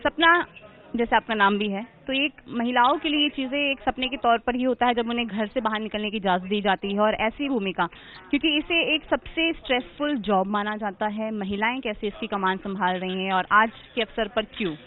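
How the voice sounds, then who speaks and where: A woman's voice: on the high side, brisk. Woman TV reporter, phone-thin sound. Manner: formal, emphatic questioning. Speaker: field reporter. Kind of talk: news report and interview. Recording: narrow phone-like audio.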